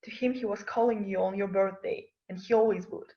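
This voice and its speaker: A woman playing a calm care-home character, measured and explanatory, in an amateur script table read. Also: mid-range voice, lightly acted. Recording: slightly muffled, lo-fi.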